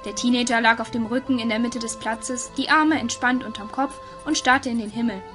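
A woman's voice: fairly high pitch, fairly even intonation, fairly quick. A woman narrator reading a scripted audio story. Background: music.

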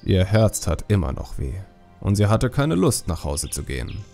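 Low, gravelly male storyteller. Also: very animated, normal speed, warm tone, soft background music. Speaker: audiobook narrator. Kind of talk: scripted storytelling narration.

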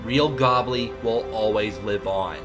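A man's voice: fairly low pitch, a little slow, natural voice. A man playing a plucky, cheeky, foul-mouthed young boy hero in a cartoon parody. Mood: determined. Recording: loud music behind the voice.

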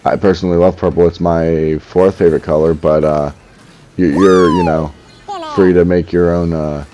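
A young man with a deep voice, reading viewer comments aloud while gaming at home, dry and a little sarcastic, often stammering as he restarts his sentences. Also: fairly even intonation, moderate pace, mellow, slight background noise. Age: young adult. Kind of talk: casual commentary while playing. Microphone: headset. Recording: slightly dull lo-fi audio.

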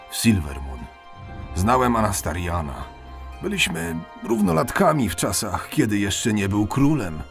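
A man's voice: low-pitched, vocal fry, heavily acted. A man playing a weary, proud old elf veteran captain in a fantasy audio drama, authoritative and melancholy.

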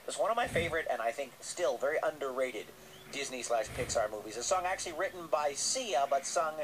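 A man's voice: medium pitch, expressive, fairly quick. A man hosting a radio show in a casual, upbeat presenting style. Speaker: radio host. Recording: noisy re-recording of radio playback.

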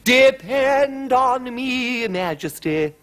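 A theatrical court magician from a storybook, acted by a man. The voice is lifted high and throbs slowly with grand reassurance. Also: animated, a bit bright, heavily acted. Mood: grandly reassuring. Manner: slow, throbbing, theatrical delivery.

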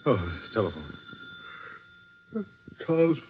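An actor playing a weary, grumbling, plain-spoken bush pilot in dramatic 1940s radio style. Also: male voice, fairly low pitch, sing-song, slow pace. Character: weary, gruff male bush pilot in trouble. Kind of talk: 1940s radio acting, talking to himself and others. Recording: scratchy, muffled old phone-like radio recording.

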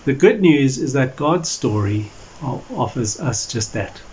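A man's voice: medium pitch, expressive, measured pace, darkish tone. Male pastor preaching, slightly dull recording. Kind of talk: sermon to camera. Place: small indoor room at home or office. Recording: dull lo-fi audio with background noise.

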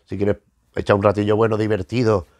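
A man's voice: lowish voice, level tone, fairly quick, a little mellow, dry recording. A man in his fifties with a Spanish accent from Spain, chatting casually as a review host, often repeating words as he searches for phrasing. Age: 50s. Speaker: host of a retro comics review show. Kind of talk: casual, rambling monologue to camera. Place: small book-lined room at home, indoors.

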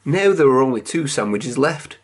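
A reader telling a children's picture book aloud in a measured, expressive storytelling manner. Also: mid-range voice.

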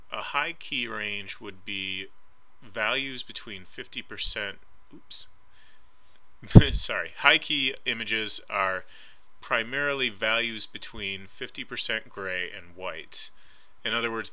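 A man with a very bright, forceful voice gives an art lecture over slides. He talks casually and hedges often, filling pauses with ums, and sits on the lower side in pitch. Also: low-quality recording. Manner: casual and hedging, frequent ums. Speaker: art instructor. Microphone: voice-over recorded over slides.

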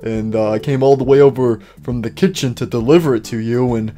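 A man's voice: mid-range voice, fairly quick, mellow, faint music behind, close to an everyday voice. A man voicing a cocky, laid-back, flirty animatronic bear in an improvised comedy roleplay. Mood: smug.

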